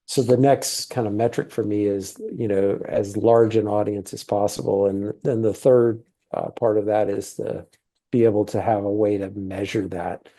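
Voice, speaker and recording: Middle-aged guy from thailand, mellow gravelly voice, rambly easygoing interview chat. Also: lowish voice, fairly even intonation, moderate pace. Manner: easygoing, rambling with many fillers. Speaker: guest, TV series producer. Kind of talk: casual interview conversation.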